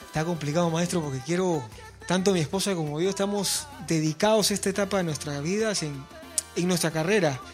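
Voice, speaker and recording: A man in his forties speaks as a musician guest in a relaxed interview, his voice sitting high. He talks earnestly, with fillers and repeated words, and soft music plays faintly behind him. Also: brisk. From Peru. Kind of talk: casual interview chat.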